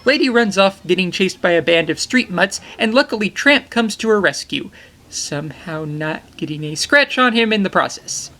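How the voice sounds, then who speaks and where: A young man reviews an old animated film with jokey, enthusiastic asides, his pitch bouncing up and down as he talks. He sits in a small poster-covered bedroom, talking toward a webcam. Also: moderate pace. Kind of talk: comedic film review narration.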